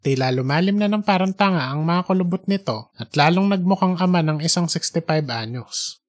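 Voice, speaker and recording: Filipino storyteller with a medium-pitched voice. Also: lively intonation, fairly quick. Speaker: audiobook podcast narrator. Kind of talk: scripted story narration.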